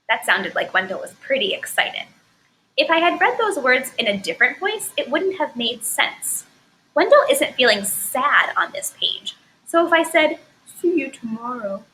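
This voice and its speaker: A woman teaching a recorded lesson to first graders in clear, encouraging, expressive speech. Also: on the high side, slight background noise, low-quality recording.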